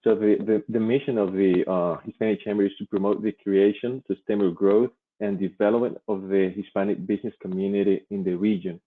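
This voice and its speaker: A man from Colombia with a very deep, evenly pitched voice gives a slide presentation. He is a native Spanish speaker and talks haltingly. The sound is thin and phone-like, as on an online call. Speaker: chamber vice president, presenter. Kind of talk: slide presentation at a virtual event. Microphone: online video call. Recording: muffled phone-like call audio.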